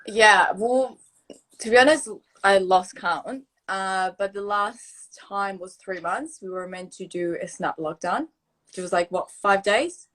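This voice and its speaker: A woman's voice: medium pitch, a little slow, a bit bright. A young woman from Argentina with a mixed Argentinian accent, a native Spanish speaker, chatting as a guest on a live video call and laughing often. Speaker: guest influencer.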